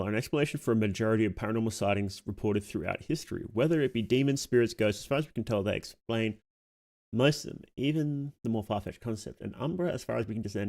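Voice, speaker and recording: A man with a fairly low voice hosts a casual livestream. He reads text from the screen out loud and adds his own offhand reactions, thinking aloud as he goes. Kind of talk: casual livestream reading and commentary.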